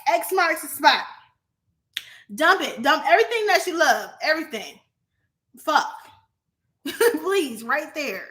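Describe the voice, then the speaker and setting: A young adult woman vlogging in a casual, profane monologue to her viewers, animated and often laughing. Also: on the high side.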